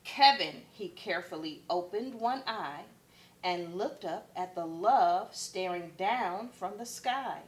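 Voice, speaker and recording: A woman's voice: lowish voice, animated, slow pace, rough recording. A woman, a first grade teacher, reading a picture book aloud expressively for children.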